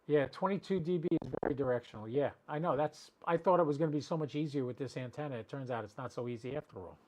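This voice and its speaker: A man's voice: medium pitch, fast talker, a little mellow, rough recording. Chatty man hosting a casual livestream. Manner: chatty, rambling, addressing viewers by name. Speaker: amateur radio livestream host.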